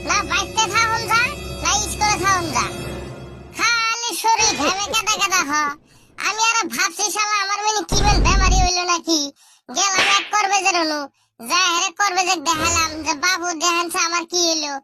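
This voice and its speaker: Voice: very high voice, steady tone, moderate pace, over loud music. A performer voicing a whiny, indignant little cartoon schoolkid who rambles and grumbles. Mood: whiny, grumbling. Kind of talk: comedy cartoon dialogue in colloquial Bangla.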